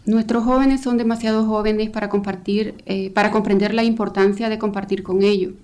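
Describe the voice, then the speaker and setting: A woman speaks to a council, earnest and a little hesitant, filling gaps with "eh" and restarting phrases. She talks quickly, at a middle pitch. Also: little pitch movement, darkish tone. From El Salvador. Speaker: volunteer coordinator giving public testimony. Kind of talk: public testimony. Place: county council chamber.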